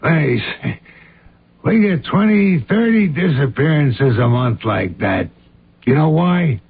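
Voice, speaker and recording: An actor playing a folksy, cynical police inspector in a radio drama, chummy, slangy and offhand. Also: male voice, on the high side, expressive, slow pace, slight background noise. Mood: dismissive, cynical. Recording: muffled lo-fi radio drama recording.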